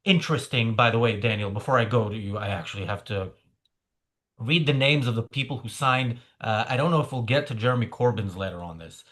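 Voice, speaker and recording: A man's voice: mid-range voice, a little fast, a bit bright. A male podcast host opening an episode of political commentary. His voice sits in a middling register, and his delivery is dry and sarcastic, broken up by frequent filler sounds.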